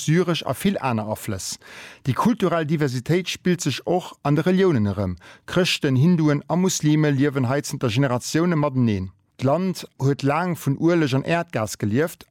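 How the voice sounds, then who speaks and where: A Luxembourgish man hosts a podcast with a voice that sits a little high. He reads a scripted opening and then asks curious, slightly halting questions. The sound is close and dry, with faint music running underneath. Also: no room sound. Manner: curious, hesitant in English with restarts. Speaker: podcast host. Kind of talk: scripted intro then casual interview.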